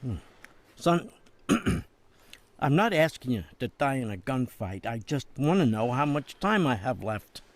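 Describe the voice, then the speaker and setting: A wry, persuasive jailed politician, lively delivery. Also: male voice, mid-range voice, a little slow. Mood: weary, probing. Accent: American. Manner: wry, coaxing, avuncular, persuasive. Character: shrewd, smooth-talking jailed politician, older man, persuasive. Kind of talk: dramatic game cutscene acting.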